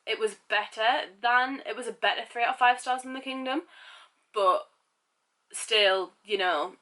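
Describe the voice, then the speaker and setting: A woman's voice: a little high, a little slow, bright, forceful tone. A young woman chatting casually about books she has read lately, sounding nasal and sniffly from a cold, rambling with self-mocking asides. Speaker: book vlogger. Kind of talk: casual book wrap-up chat.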